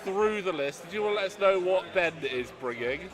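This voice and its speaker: A British man hosting live commentary of a miniatures tournament, speaking loudly and chattily with a self-deprecating touch. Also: sits high, expressive, fairly quick. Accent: British English. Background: crowd chatter of a tournament hall.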